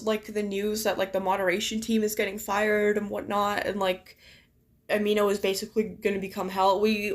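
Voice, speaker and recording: A young woman streaming and chatting casually with viewers, her voice a little raspy and deeper from just waking up, talking in a rambling way full of "like". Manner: chatty, filler-heavy, many "like"s. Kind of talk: casual live-stream chat.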